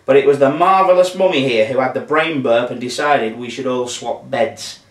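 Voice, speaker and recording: A man's voice: medium pitch, moderate pace, close to an everyday voice. A man voicing a grumbling, sarcastic young vampire boy in a children's story. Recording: roomy, distant microphone.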